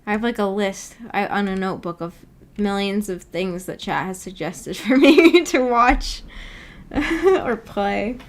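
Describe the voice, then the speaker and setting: A woman's voice: mid-range voice, a little slow. A woman hosting a livestream, chatting casually and reading out viewer comments in a giggly, chatty way. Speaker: streamer and host.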